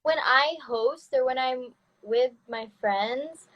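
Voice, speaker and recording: High-voiced young woman chatting on a live stream. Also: slow pace, highs cut off. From Canada. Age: young adult. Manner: chatty, laughs between words. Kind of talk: casual chat. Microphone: phone on a video live stream.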